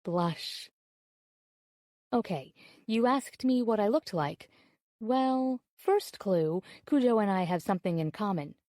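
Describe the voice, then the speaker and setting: Actress doing a friendly coy woman reading her emails out, audiobook style, soft mellow tone, really dry sound. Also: mid-range voice, animated, slow pace, no room sound. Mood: coy, chatty. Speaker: audiobook narrator. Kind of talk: scripted audiobook narration.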